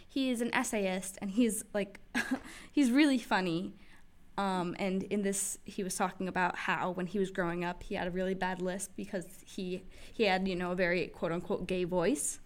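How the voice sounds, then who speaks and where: A woman's voice: medium pitch, steady tone, normal speed. A young woman co-hosting a casual talk podcast, chatty and full of fillers like "like", giggling easily. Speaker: podcast co-host. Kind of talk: casual conversational chat.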